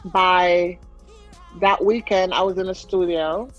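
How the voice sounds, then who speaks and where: A woman's voice: lowish voice, steady tone, takes it slowly, lo-fi sound, faint music behind. A young adult woman with a light Jamaican accent, telling her story as an interview guest in a chatty, rambling way full of fillers.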